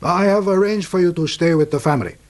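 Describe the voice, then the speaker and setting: An actor playing a courteous official and interpreter, formal, deferential and slightly hesitant, in a radio drama. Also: male voice, sits high, quick pace, lightly acted. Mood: courteous, helpful.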